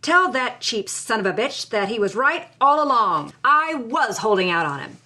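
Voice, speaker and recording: A woman's voice: a little high, sing-song, moderate pace, sharp tone. A woman voicing the letters of a roguish male adventurer, in a hearty, salty, emphatic way.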